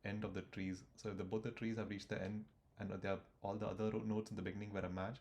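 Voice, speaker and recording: A man's voice: on the low side, flat delivery, quick pace, darkish tone. A man explaining code step by step as he types it, thinking aloud with fillers and restarts. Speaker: coding tutor. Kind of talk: screen-recorded coding walkthrough.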